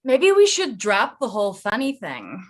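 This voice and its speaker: A woman's voice: mid-range voice, wildly swooping pitch, moderate pace. A woman playing a hesitant but practical, supportive woman in a staged reading of a play. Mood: practical, impatient.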